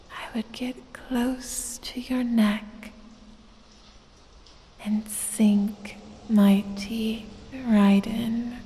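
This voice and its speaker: Woman acting a slow, whispering, predatory snake-woman seductress. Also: a little high, warm tone. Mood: menacing, relishing. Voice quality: breathy, whispery. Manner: slow, sultry, drawn-out, gloating purr. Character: evil, seductive female lamia, venomous snake woman toying with prey. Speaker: audio roleplay performer. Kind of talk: ASMR roleplay, addressing the listener. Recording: long cave-like reverb, faint background noise.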